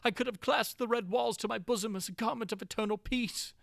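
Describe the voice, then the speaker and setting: Male narrator, fairly high voice, theatrical and intense gothic horror read, very dry sound. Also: brisk, gravelly, no room sound. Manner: theatrical, intense delivery with big swings from hushed to crying out. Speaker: narrator reading classic horror tales. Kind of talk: dramatic reading of gothic horror.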